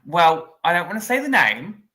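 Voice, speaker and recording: A man, co-hosting a podcast in excitable casual chat full of "like". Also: sits high, normal speed. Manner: excitable, filler-heavy, lots of "like". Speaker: podcast co-host.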